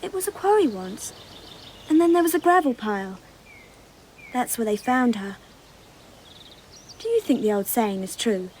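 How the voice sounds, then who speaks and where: A woman's voice: a little high, animated, moderate pace, pauses often, darkish tone, slight background noise, natural voice. An actress playing a frank, dreamy twelve-year-old girl with an English accent in a radio drama, innocent and matter-of-fact in manner. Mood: matter-of-fact, eerie. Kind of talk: broadcast radio drama acting.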